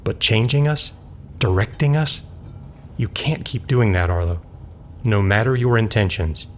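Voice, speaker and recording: A man's voice: lowish voice, a little slow, slight background noise, close to an everyday voice. An actor playing a serious, admonishing male doctor in an audio drama. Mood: reproachful. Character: Doctor Clark, stern, reproachful male doctor.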